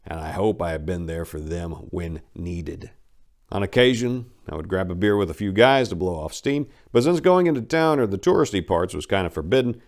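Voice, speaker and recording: A man's voice: fairly low pitch, darkish tone. A man reading first-person memoir narration aloud in a folksy, conversational storyteller's manner. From southern United States (Memphis).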